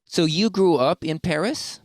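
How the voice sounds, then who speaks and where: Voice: mid-range voice, unhurried, no echo. A podcast host with a mid-range voice asks questions in casual chat. The delivery is playful and gushing, and the sound is dry and close.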